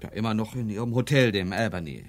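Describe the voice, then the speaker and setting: An actor playing a wry, slightly impatient investigator's assistant, reporting matter-of-factly in a German crime radio play. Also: male voice, lowish voice, expressive, fast, lightly acted. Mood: wry, puzzled.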